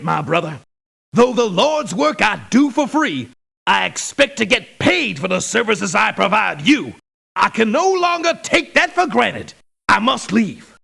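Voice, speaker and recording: Video game preacher-for-hire: sanctimonious, ruthless, raspy, wildly swooping delivery, slow and deliberate. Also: male voice, high-pitched, sharp tone, exaggerated voice. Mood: indignant, righteous. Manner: sermonizing, pompous, formal biblical phrasing. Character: pious, pompous preacher turned mercenary, ruthless beneath the scripture. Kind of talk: theatrical video game voice clips. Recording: clipped lo-fi game voice samples.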